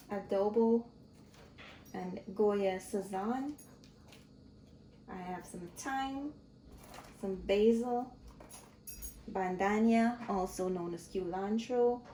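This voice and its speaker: A woman talks slowly through a recipe, her voice at a middle pitch. The kitchen is echoey, and clattering pots and dishes are clearly heard behind her. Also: background noise. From Guyana. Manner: slow, friendly step-by-step explaining. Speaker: cooking channel host. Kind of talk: casual cooking tutorial. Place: home kitchen.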